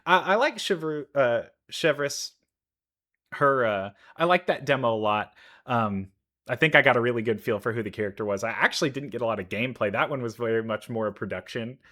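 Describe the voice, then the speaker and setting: A man giving casual, enthusiastic reaction commentary, halting as he thinks aloud. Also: mid-range voice, a bit bright. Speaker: reaction video host, gamer.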